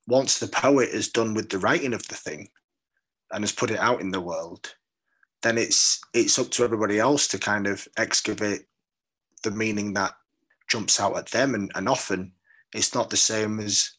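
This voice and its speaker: A man's voice: on the low side, sharp tone. A man from London with a British accent, speaking as a poet in a reflective interview, thoughtful and hesitant, often repeating words as he searches for the right one. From London, England.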